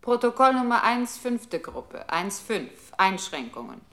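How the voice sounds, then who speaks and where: A woman's voice: on the high side, big pitch swings, a little slow, a bit bright. A woman playing a computer's control voice in a radio play, giving clipped, exact commands in a strict, impersonal manner. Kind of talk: 1960s German experimental radio play.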